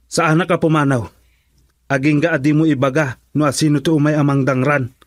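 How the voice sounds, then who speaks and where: A man's voice: fairly high pitch, little pitch movement, brisk, no room sound, natural voice. A man playing a stubborn young husband in a melodramatic radio drama, insistent and defiant.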